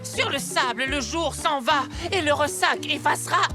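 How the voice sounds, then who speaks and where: High, hesitant cartoon kid, with music under it. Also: female voice, animated. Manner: hesitant, halting. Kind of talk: cartoon voice acting. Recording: music behind the voice.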